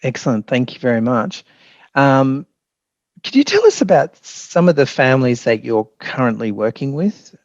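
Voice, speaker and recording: A man's voice: medium pitch, very animated, normal speed, no room sound, highs cut off. A man with an Australian accent, a polite host moderating a webinar panel.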